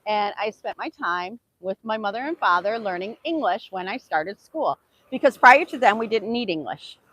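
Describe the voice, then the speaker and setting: A woman's voice: mid-range voice, expressive, moderate pace, a bit bright, a little hiss or hum, low-quality recording. A woman from the United States whose first language is Hungarian, giving an informal motivational talk in an earnest way, repeating phrases and posing questions. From United States, Hungarian immigrant family. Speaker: English teacher giving a motivational self-improvement talk.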